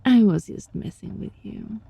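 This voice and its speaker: A woman voicing a sly, teasing, controlling yet gentle fox-spirit roommate in an ASMR roleplay, with a breathy voice that often drops into a whisper. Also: no room sound, lightly acted. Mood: reassuring, coaxing. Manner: slow, teasing, softly menacing. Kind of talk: ASMR roleplay, scripted monologue addressed to listener.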